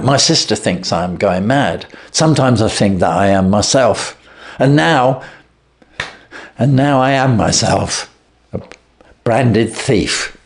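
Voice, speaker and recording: A man reading aloud and voicing a frightened young thief who pleads his confession in a raised, urgent voice. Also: on the low side. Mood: desperate. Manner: desperate, pleading, rushed.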